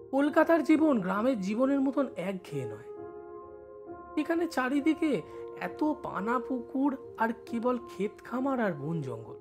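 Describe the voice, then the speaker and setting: A man playing a village schoolboy who studies in the city, telling his story in expressive first-person narration. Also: very high-pitched, exaggerated voice. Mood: reflective. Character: village schoolboy studying in Kolkata, recalling his life.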